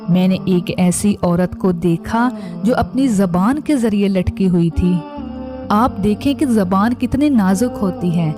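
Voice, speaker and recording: Woman solemnly narrating over music. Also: mid-range voice. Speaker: narrator of religious stories. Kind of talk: scripted religious narration.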